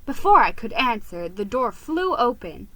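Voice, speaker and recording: A woman narrating a children's story as an expressive, theatrical dramatic reading. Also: a little high, normal speed, no room sound, poor audio.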